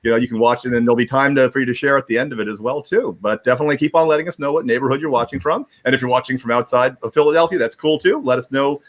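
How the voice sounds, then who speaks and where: A man's voice: mid-range voice, very fast, few pauses. A man hosting a livestream in casual, rambling talk, often repeating words as he goes. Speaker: host of a livestream. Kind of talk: casual chat.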